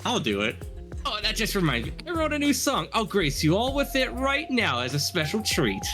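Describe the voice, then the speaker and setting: A mid-pitched woman banters over gameplay in a casual, theatrical style. Her intonation is extremely animated and exclamatory, and game music plays clearly behind her. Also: moderate pace. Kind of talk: casual group commentary, acting out game lines. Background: video game music.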